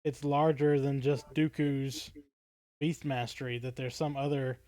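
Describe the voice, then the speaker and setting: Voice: mid-range voice, little pitch movement, a little slow, mellow. A podcast co-host talking casually, thinking aloud in a tentative way.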